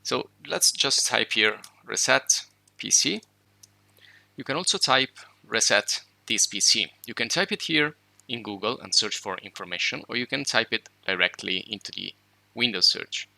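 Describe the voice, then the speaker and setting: Italian-native male tutorial host, gravelly and sharply bright, dry close mic, casual explanatory delivery. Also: lowish voice, normal speed, no echo, poor audio. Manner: relaxed, explanatory, with fillers and self-corrections. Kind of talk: screen-recorded tutorial walkthrough.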